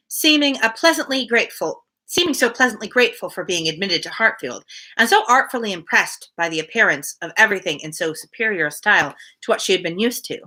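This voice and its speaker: A woman reading a novel aloud on a livestream in an expressive storytelling way, with casual asides. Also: mid-range voice. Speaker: reader hosting a daily livestream.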